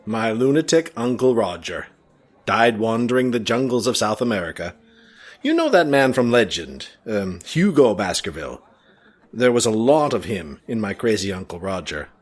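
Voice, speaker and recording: A man playing a frank, courteous heir to a manor in a radio play, with a husky voice. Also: on the low side, big pitch swings, moderate pace. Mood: frank, animated.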